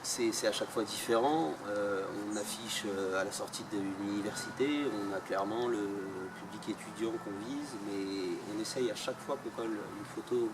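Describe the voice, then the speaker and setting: A man's voice: lowish voice, moderate pace, hardly any pauses. A man being interviewed about his photojournalism project, answering at length with frequent hesitations. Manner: hesitant, many fillers. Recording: distant mic, loud outdoor noise.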